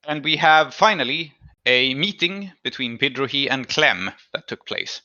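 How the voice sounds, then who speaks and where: A man recapping a tabletop game session as game master in a conversational, halting way, pausing mid-phrase. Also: medium pitch, lively intonation, pushed and bright, highs cut off.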